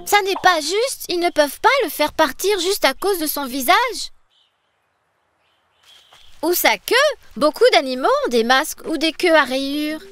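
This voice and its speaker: Bright, high-voiced earnest cartoon girl. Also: moderate pace, no echo. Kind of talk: French cartoon dub for small children. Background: music.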